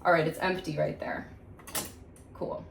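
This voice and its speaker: A woman's voice: low-pitched, flat delivery, a little slow. A woman giving a casual, chatty hands-on tutorial, informally thinking aloud as she goes. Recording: echoey room, faint background noise.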